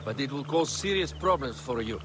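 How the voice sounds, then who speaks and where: An actor playing a composed, courtly royal adviser in an ancient Egyptian video game drama, speaking formally and deliberately. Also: male voice, medium pitch, lively intonation, background noise, close to an everyday voice. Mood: grave, formal.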